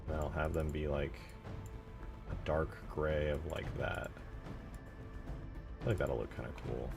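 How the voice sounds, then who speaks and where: A man's voice: rumbling low, fairly even intonation, unhurried, creaky. A man giving casual live stream commentary as he works on a game mod, thinking aloud in an informal, self-effacing way. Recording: music playing behind the voice. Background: music.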